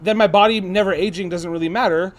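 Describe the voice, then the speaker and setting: A man's voice: sits high, fairly even intonation, quick pace. Rambling, thoughtful man co-hosting. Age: 30s. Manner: rambling, thoughtful, many restarts. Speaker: co-host. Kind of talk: casual chat.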